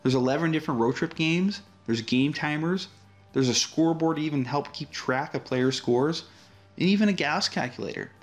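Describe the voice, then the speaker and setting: Relaxed man demoing an app. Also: on the high side, normal speed, faint music behind. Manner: relaxed, friendly walkthrough with frequent fillers. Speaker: app developer. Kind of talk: casual screen-recorded app demo.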